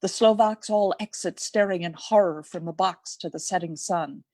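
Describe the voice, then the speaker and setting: A woman's voice: lowish voice, moderate pace, a little mellow, no echo. A woman giving dramatic scripted narration for a radio play.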